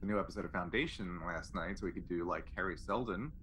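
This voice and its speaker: A man with a slightly low, bright and forceful voice chats casually as a tabletop game player. His manner is wry and joking, and there is faint noise behind him. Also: fast talker.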